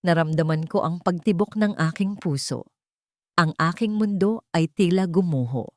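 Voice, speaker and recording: A woman narrating a first-person dramatic audiobook in a steady, measured way. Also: on the low side, no room sound, clean studio sound.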